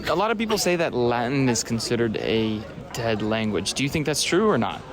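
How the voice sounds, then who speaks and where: Fast-talking man interviewing on the street. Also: mid-range voice, very animated, a crowd in the background. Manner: brief prompting questions. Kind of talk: quick vox-pop questions.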